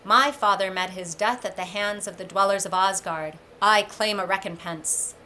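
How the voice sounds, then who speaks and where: A defiant young warrior giantess from myth acted by a woman, making formal, bold declarations in storybook style. Clear noise lies behind the voice. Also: medium pitch, normal speed, bright, forceful tone, lightly acted. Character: bold armored young giant maiden seeking vengeance.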